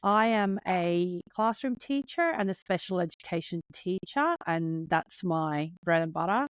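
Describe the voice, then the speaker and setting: A woman's voice: fairly low pitch, lively intonation, unhurried, clean tone, dry recording. Finnish accent woman in her 30s, earnest and kinda nervous, dry close mic, bit dull sounding. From Finland. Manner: earnest, openly nervous, heartfelt. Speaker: podcast host. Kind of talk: solo podcast monologue.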